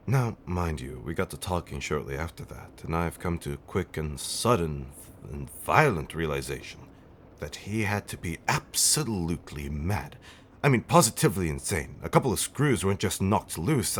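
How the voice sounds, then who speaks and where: A man's voice: sits low, slight background noise. A man playing an affectionate, centuries-old vampire husband in an ASMR roleplay, telling stories in a theatrical, teasing, old-fashioned way with dramatic swells and whispers.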